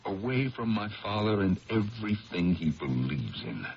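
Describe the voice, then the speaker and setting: An actor playing a troubled lawyer in a 1970s radio drama, a man burdened and ashamed, speaking haltingly as if confessing. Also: lowish voice, very animated, darkish tone. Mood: ashamed, grave. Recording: dull, hissy old radio broadcast.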